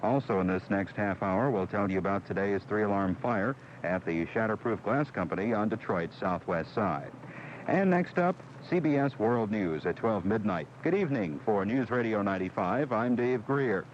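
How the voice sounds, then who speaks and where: American male radio news anchor, lowish voice, lo-fi broadcast, slight hiss. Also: normal speed. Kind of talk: local news headlines and weather read. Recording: dull AM radio, faint noise.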